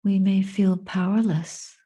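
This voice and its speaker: Slow, very soft, breathy woman guiding meditation. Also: mid-range voice, fairly even intonation. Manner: contemplative, measured phrases with long pauses. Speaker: Buddhist nun and meditation teacher. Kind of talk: guided meditation and dhamma reflection.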